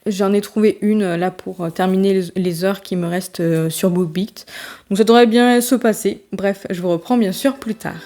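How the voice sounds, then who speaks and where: A woman talking casually to her viewers about her reading, her voice mellow and warm. She rambles, restarting her sentences and filling gaps with small hesitations, and sounds stuffy and worn out from a cold. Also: mid-range voice, moderate pace. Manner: chatty, many fillers and restarts. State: congested with a summer cold, tired. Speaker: book vlogger. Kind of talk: casual vlog chat.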